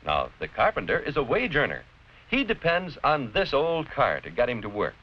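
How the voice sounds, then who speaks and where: An American man giving scripted educational narration in a deliberate, clearly explanatory, didactic manner. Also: medium pitch, wildly swooping pitch. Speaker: narrator-presenter of an educational film. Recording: dull, noisy vintage educational film soundtrack.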